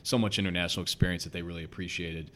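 A young man with a slight Chicago accent, speaking as a guest coach on a podcast. His voice is very deep and stays quite flat. He talks earnestly and quickly, full of "you know" and "um", with a faint low rumble behind him. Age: young adult. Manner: earnest, talks fast with many fillers. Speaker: basketball head coach, guest. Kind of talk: casual podcast interview.

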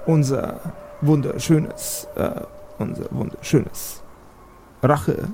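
A spiteful little skull, put on by a male game master, delivers a witches' bulletin in a fantasy tabletop game. It speaks slowly and haltingly, breaking phrases with a chanted refrain. There is some noise in the recording. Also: fairly high pitch, steady tone, warm tone. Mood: spiteful, announcing. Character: small talking skull, spiteful witches' broadcast messenger.

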